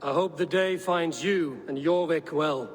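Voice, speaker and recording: An actor playing a blunt, honest Viking raider hero who talks in a firm, plain way. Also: male voice, fairly high pitch, measured pace, natural voice.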